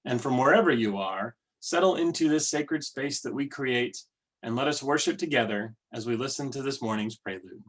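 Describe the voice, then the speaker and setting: A man leading worship, giving welcomes and readings in a measured, deliberate way. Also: medium pitch, lively intonation, rough recording. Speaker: worship leader. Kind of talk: church service welcome and readings.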